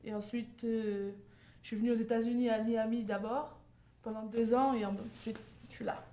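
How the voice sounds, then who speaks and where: A woman's voice: a little high, fairly even intonation, normal speed, crowd noise. A young woman from France, answering interview questions fluently. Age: young adult. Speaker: guest, softball player.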